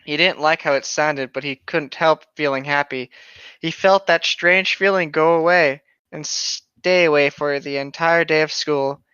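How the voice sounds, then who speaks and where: A man's voice: a little high, steady tone, a little slow, bright, forceful tone, clear, resonant tone, no room sound. A man co-hosting a podcast, reading a story aloud with playful, sweary asides, recorded on a slightly muffled microphone. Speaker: podcast co-host reading horror fiction aloud.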